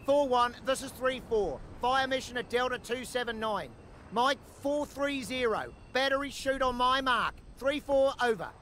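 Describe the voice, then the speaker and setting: A man playing an Australian army commander making brisk, forceful radio calls in a war game. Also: very high-pitched, slight background noise. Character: Australian ANZAC commander calling for air and artillery support.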